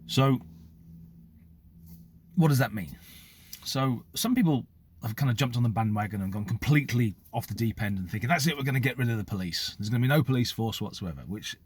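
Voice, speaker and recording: A middle-aged man from the United Kingdom with a British accent, a former police officer, speaking to the camera in a measured, explanatory way. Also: medium pitch, expressive, slightly rough. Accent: British English. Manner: measured, explanatory, occasional fillers. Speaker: former police officer giving his view. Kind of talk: direct-to-camera opinion talk.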